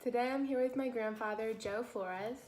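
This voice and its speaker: A woman interviews her grandfather and reads her questions plainly. Her voice is on the high side. The room echoes. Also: normal speed, darkish tone. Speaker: student interviewer.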